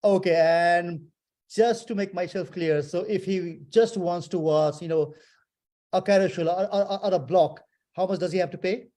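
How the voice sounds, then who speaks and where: High-voiced male host. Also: fairly even intonation, moderate pace, dark tone. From Nepal. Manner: playful, teasing questions. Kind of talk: casual video interview.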